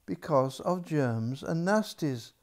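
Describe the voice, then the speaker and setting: Elderly man playing a stern palace maid, slow, emphatic, warning, storybook character. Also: on the high side, lively intonation. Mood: grave warning.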